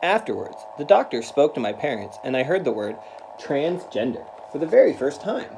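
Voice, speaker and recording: A man speaking to children in an earnest, careful, deliberate way, as in a read-aloud. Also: medium pitch, big pitch swings, gravelly, background noise. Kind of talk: read-aloud of a children's picture book with spoken introduction.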